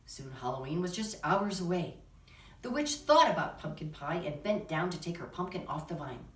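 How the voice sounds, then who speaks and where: A woman's voice: lowish voice, animated, moderate pace. A woman drama teacher presenting and narrating for children's theater, in instructional talk and storytelling. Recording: echoey room, distant microphone.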